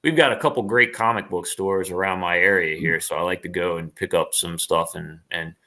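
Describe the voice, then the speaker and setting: A man's voice: low-pitched, a little fast, bright, forceful tone. A man chatting casually as a guest on a livestream. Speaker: guest, voice actor.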